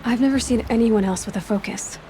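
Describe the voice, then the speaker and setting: An actress playing a determined young outcast hunter who asks direct, probing questions. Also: female voice, fairly high pitch, fairly quick, dark tone, natural voice, poor audio. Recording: game audio with ambient noise.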